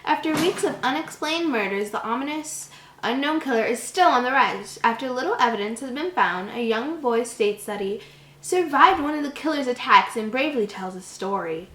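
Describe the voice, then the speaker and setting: Animated woman in an echoey room. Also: a little high, moderate pace. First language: English. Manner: giggly, stumbles over words. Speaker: channel host. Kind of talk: reading a horror story aloud with joking asides.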